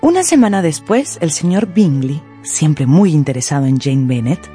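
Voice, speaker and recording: A woman narrating a scripted radio drama in an expressive storytelling manner. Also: deep voice, moderate pace, faint music behind.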